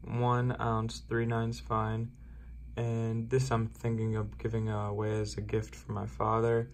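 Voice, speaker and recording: A young man chatting casually as a coin-collecting vlogger, relaxed and rambling with filler words, filmed on a phone. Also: fairly low pitch, slow, background noise. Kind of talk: casual vlog chat. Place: car, then indoors at a desk.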